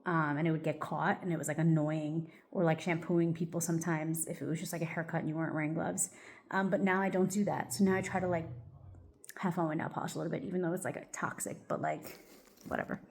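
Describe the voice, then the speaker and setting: A woman's voice: low-pitched, steady tone, quick pace, gravelly. A woman vlogging in a casual get-ready-with-me chat. She rambles loosely, with plenty of "like" and "um", and sounds a little congested.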